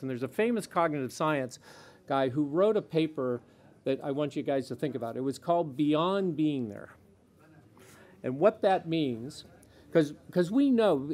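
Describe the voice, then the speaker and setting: A man speaking on a conference panel, emphatic and animated, restarting phrases as he goes. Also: on the high side. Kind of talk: panel talk. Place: conference hall.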